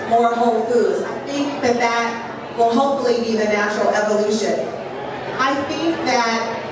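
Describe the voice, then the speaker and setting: A woman speaking as a panelist, explaining her views earnestly and often checking in with "right?". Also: a little high. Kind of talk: panel discussion. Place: large echoey event hall. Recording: roomy hall audio, distant mic, crowd noise.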